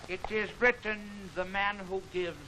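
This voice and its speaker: An actor playing a formal, courteous man in disguise who speaks in measured proverbs, in a 1940s film serial. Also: sits high, flat delivery. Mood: polite, probing. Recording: noisy 1940 film serial soundtrack.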